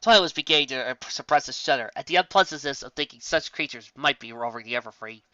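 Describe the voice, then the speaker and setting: A woman's voice: very deep voice, lively intonation, fast, bright, forceful tone, dry recording, highs cut off. A woman reading a fan story aloud with dramatic narration. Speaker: story reader.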